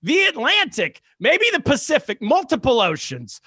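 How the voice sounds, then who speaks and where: A man's voice: very high-pitched, wildly swooping pitch, normal speed, sharp tone, husky, no room sound, studio quality. A man hosting a comedy podcast, introducing a guest with teasing jokes in a casual, joking manner. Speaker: podcast host.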